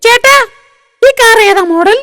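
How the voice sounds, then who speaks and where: A woman's voice: very high voice, measured pace, a bit bright, dry recording, clean studio sound. A woman voicing a curious, cheeky young boy in a comic cartoon. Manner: cheeky, inquisitive.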